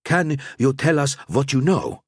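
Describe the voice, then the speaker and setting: A man's voice: medium pitch, big pitch swings, unhurried, slightly rough, no room sound, clean studio sound, pitched above the performer's own voice, heavily acted. A man voicing an earnest, cautious male knight who speaks with urgent concern.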